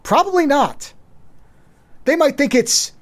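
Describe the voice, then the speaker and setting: Casting: podcast co-host, man with a New York accent, high-pitched, blunt and foul-mouthed. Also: lively intonation, takes it slowly. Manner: swears freely, blunt and joking. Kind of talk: casual chat.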